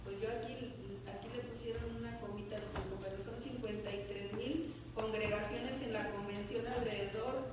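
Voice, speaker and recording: A woman with a fairly high voice addresses a congregation, reading a mission report aloud with asides. She stumbles over words and keeps tagging her sentences with a questioning "right?". The sound is a booming church hall heard from a distant mic, with steady background noise. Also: moderate pace, few pauses. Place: church sanctuary.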